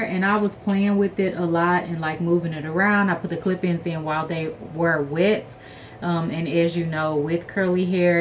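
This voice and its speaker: Chatty young woman, farther from the mic. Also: on the low side. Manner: upbeat, chatty, addresses viewers as y'all. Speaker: hair vlogger. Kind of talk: casual vlog chat. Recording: distant mic with light room noise.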